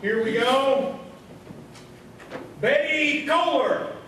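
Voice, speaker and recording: A man's voice: very high-pitched, very slow, fairly bright tone, heavily acted. A man playing a folksy small-town host of a live radio variety comedy show, with showman's patter. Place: live show before audience in hall. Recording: echoey hall, distant, noisy live stage.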